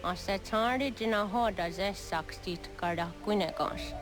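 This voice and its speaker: A woman playing a grave village woman in a video game, speaking in a deliberate manner. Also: medium pitch, over music, natural voice. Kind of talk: scripted video game cutscene acting.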